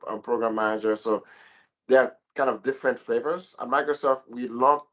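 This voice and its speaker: A man's voice: mid-range voice, fairly bright tone, lo-fi sound. A man who works as a program manager, giving a structured, step-by-step explanation on a panel over a video call.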